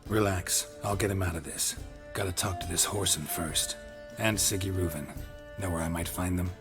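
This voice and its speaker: A man playing a world-weary witcher in a video game, terse and deadpan, in a low gravelly murmur. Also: normal speed, lightly acted. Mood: resolute, wry. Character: world-weary gruff male witcher, monster hunter for hire. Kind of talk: video game cutscene acting. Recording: music under the dialogue.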